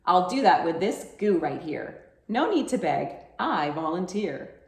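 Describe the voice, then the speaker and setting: A woman's voice: lowish voice, sing-song, unhurried, darkish tone, roomy sound. A woman voicing a friendly, bumbling storybook wizard with a proud, theatrical manner. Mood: showy, proud. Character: showy, friendly, bumbling wizard eager to volunteer.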